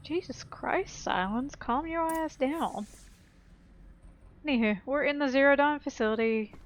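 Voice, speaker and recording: A woman's voice: sits high, unhurried, a little hiss or hum. A woman giving a casual, playful game walkthrough in an informal, slangy way. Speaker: gaming video host. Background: faint game music.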